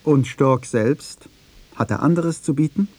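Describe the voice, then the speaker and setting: A man's voice: fairly high pitch, a little slow, a little mellow, no room sound. A man narrating a scripted radio feature in a pointed, critical, argumentative way.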